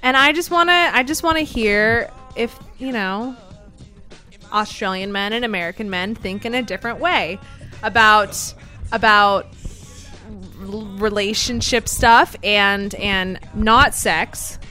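Bright-voiced teasing young woman. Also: medium pitch, unhurried, faint music behind. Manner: teasing, bossy, laughs often. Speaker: host. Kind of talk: casual chat.

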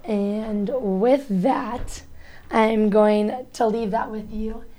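Young woman, college radio DJ, casual talk show, chatty, filler-heavy, medium pitch. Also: steady tone, drawn-out words, mellow. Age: young adult. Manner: chatty, lots of "um" and "like".

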